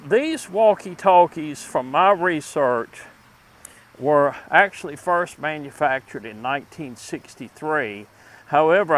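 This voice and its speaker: A man with a mid-range voice presents a review as host of a vintage electronics channel. He talks informally through what he is demonstrating. His delivery is deliberate and unpolished, with a halting flow. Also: medium pitch, fairly bright tone.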